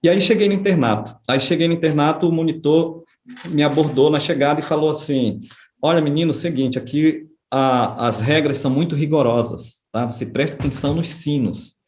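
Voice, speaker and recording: A middle-aged man from Brazil recounts his past at an unhurried pace, often checking in with short tag questions. His voice sits a little high for a man. The recording carries a noticeable room echo. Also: a little mellow. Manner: unhurried, with frequent tag questions and small restarts. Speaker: interviewee, writer and history professor. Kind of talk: reflective personal storytelling. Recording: echoey room.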